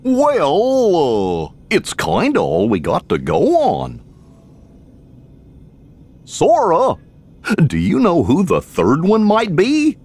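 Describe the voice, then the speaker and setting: Goofy, drawling cartoon dog. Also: male voice, high voice, wildly swooping pitch, slow pace, long pauses, a little mellow, heavily acted. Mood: puzzled, kindly. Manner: folksy, good-natured. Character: goofy, kindly, easygoing male cartoon dog sidekick. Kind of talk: cartoon game acting.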